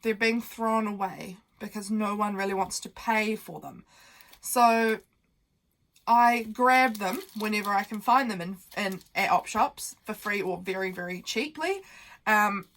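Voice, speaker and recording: A woman's voice: mid-range voice, a little slow, fairly bright tone, low-quality recording. A young woman who sounds nasal from a cold, hosting a casual, meandering craft tutorial full of fillers while she works at her desk.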